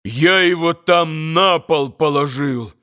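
Man voicing impetuous, defiant gentleman. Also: fairly high pitch, slow. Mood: breathless excitement. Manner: emphatic, exclamatory.

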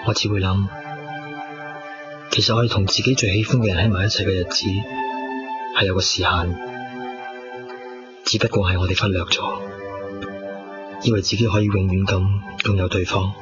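A man with a Hong Kong Cantonese accent playing a tender, wistful young man in love in a radio drama, speaking softly and reflectively. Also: deep voice, steady tone, moderate pace, pauses often, close to an everyday voice. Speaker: radio drama actor and narrator. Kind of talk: 1990s radio drama dialogue and narration. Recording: dull lo-fi radio recording with music. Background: prominent music.

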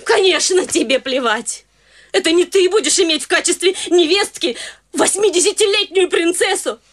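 An actress playing an indignant, fussy mother in a radio play, protesting in a shrill, near-crying voice. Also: normal speed. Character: fussy, shrill mother, middle-aged woman. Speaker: mother objecting to her son's marriage.

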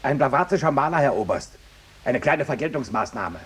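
An actor playing a brisk, deferential army captain in a 1950s German radio satire. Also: male voice, medium pitch, lively intonation, fairly bright tone, slightly rough.

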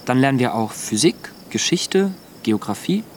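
A man's voice: mid-range voice, very animated, normal speed, slight background noise, close to an everyday voice. A young male performer in a radio drama playing a polite, eager thirteen-year-old boy. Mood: eager, dutiful. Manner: dutiful, eager.

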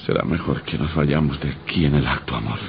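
An actor playing a frightened, protective young husband in an old radio drama, speaking earnestly and haltingly. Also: male voice, rumbling low, gravelly. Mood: uneasy, urgent. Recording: muffled old radio recording, faint noise.

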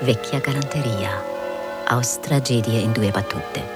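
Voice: mid-range voice, unhurried, over loud music. A narrator theatrically reading out titles, character lists and stage directions for a radio play.

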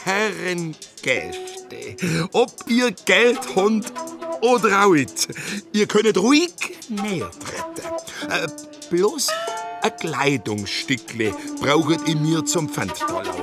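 Boastful cook hawking dishes, high and slow, singsong, broken German. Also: male voice, very animated, heavily acted. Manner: singsong sales patter, hesitant fillers. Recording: radio play with music behind.

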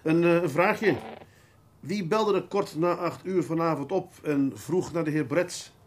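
A man's voice: fairly high pitch, lightly acted. Seasoned, musing police detective played by a man, noisy recording. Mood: polite, probing. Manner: deliberate, hesitant, musing, fatherly. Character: seasoned, unhurried, shrewd male police detective. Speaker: actor in a detective radio play. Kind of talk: naturalistic radio drama dialogue. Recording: audible background noise.

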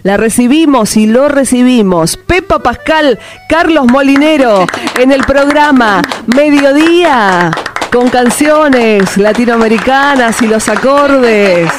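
A woman's voice: high voice, expressive, takes it slowly, background noise. A woman hosting a live radio interview, speaking in effusive, lyrical and welcoming phrases. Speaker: radio host.

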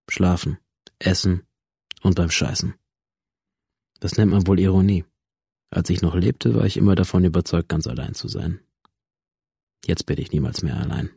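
An actor playing a bitter, cynical young man, a dead gunman living on as a simulation, in a deadpan, sardonic radio drama monologue. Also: deep voice, creaky, husky, no room sound, clean studio sound, full character voice. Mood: bitter, resigned. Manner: deadpan, sardonic, crude slang.

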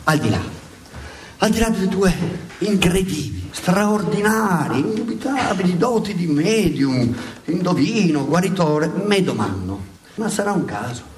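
A haughty, defensive pope who mocks his rival in a theatrical satire. His somewhat high voice stretches phrases with sneering emphasis. It is heard from a distance in an echoey space. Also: very animated, unhurried. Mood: mocking, sneering. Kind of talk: theatrical satirical stage debate. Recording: echoey stage, distant microphone.

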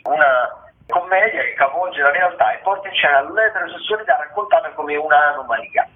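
A man hosting a radio show, discursively presenting theatre listings and thinking aloud as he reads. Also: a little high. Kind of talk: semi-improvised radio commentary on theatre listings. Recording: tinny phone-like radio sound, roomy echo.

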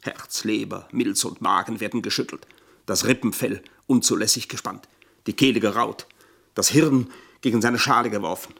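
A man's voice: fairly low pitch, normal speed, creaky, a bit bright, breathy edge, heavily acted. A middle-aged man in a German radio play, playing a scheming manservant who speaks in stilted, formal, self-pitying phrases. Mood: stiff, self-pitying. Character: aggrieved, pompous, scheming ageing manservant.

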